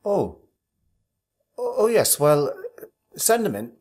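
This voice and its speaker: A man's voice: a little high, sing-song, takes it slowly, a little mellow. A distracted office boss in a radio play. He speaks unhurriedly with very varied intonation, over faint noise. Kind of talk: radio drama acting.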